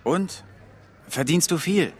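An actor playing a self-satisfied young police detective, telling gossipy, animated stories in audio drama dialogue. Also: male voice, on the high side, slow pace, slight background noise. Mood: pleased, probing.

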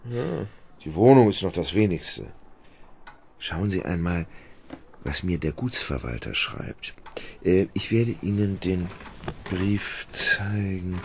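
An indolent, fretful gentleman in a radio drama. His voice is lowish and slow but swings widely in pitch. He sounds helpless, hesitant and plaintive. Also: sing-song, slight background noise, heavily acted.

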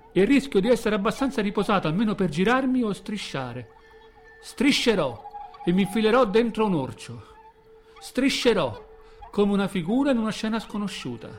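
An actor playing a wry, world-weary undercover agent in a dystopian science-fiction radio drama, laconic and theatrical in delivery. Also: male voice, sits high. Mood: brooding, declamatory.

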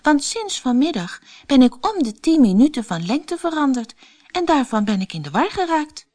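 A spirited young girl from a fairy tale, put on by a woman storyteller. Her voice is high and swings expressively, earnest and exclamatory as she puzzles over her odd world. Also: very animated, normal speed, darkish tone. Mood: confused, earnest. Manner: earnest, exclamatory, thinking aloud.

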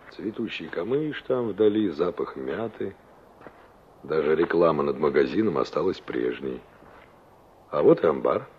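An actor playing a wry, reflective young engineer in a radio play, speaking politely with a musing, ironic manner. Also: male voice, deep voice, a little hiss or hum, full character voice. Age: young adult. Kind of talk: radio play acting with inner monologue. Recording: dull old radio drama recording.

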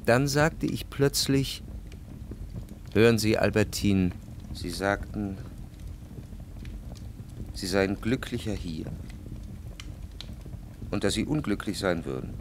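An actor playing a wealthy, brooding man in love who narrates his memories in a measured, reflective way for a radio play. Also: lowish voice, slight background noise. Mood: earnest, persuading. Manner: measured, reflective, deliberate phrasing. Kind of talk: literary radio drama narration.

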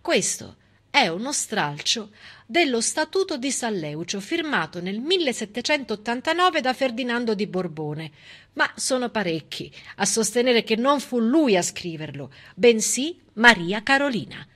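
A woman delivering a theatrical monologue in an expressive, emphatic way, with conversational asides. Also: on the high side, measured pace. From southern Italy. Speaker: stage actress performing a monologue. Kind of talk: theatrical civic monologue, storytelling.

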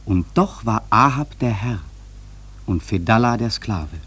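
A man acting a grave sailor who narrates a radio play in a measured, solemn literary style with dramatic swells and a husky voice. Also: fairly low pitch, expressive, a bit bright, heavily acted. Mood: solemn, ominous. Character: grave male sailor narrating a haunted whaling voyage.

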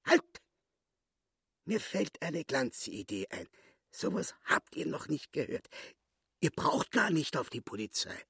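A histrionic little swindler boss in a radio play, self-pitying and wheedling. The voice creaks and rasps, drawing words out slowly in a very dry, close studio sound. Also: male voice, on the high side, very animated, fairly bright tone, no echo, full character voice. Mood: scheming, insistent. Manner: theatrical, wheedling, emphatic pauses.

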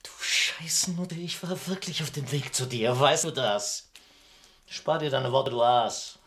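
An actor playing a bitter, threatening crook in a radio crime drama, abusive and seething. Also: male voice, medium pitch, a little slow, a bit bright, lightly acted.

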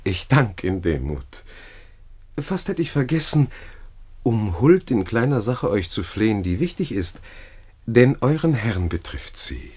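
An actor playing a scheming, flattering Roman gentleman in a classical radio play, speaking courtly, florid verse. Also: fairly low pitch, unhurried, breathy edge, exaggerated voice. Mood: courteous, persuasive.

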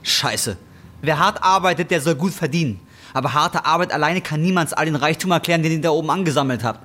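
A man's voice: fairly high pitch, quick pace, sharp tone. An actor playing a bitter, defiant, broke injured roofer in his twenties, in a German radio drama. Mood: angry, bitter. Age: 20s. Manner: embittered, colloquial, confrontational. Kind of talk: German radio drama acting and brooding first-person narration.

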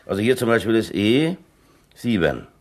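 An actor playing a streetwise, plain-spoken prisoner in a radio play, patient and laconic, with a colloquial, matter-of-fact way of talking. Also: male voice, fairly low pitch, very animated, moderate pace, darkish tone. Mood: patient, instructive. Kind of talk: 1970s German radio play acting.

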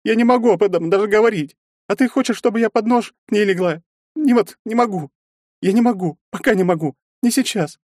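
Casting: male reader doing a young woman, clipped and cold, near tears; high voice, dry close sound. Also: big pitch swings, brisk, warm tone, breathy edge, dry recording. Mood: tearful. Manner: clipped, then sobbing pleas. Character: proud young woman, distraught and ashamed.

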